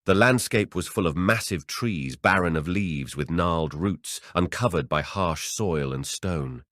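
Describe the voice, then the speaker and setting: Man, low deep voice, horror audiobook narration, scripted reading, dry studio sound. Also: animated, a little slow, fairly bright tone, no echo.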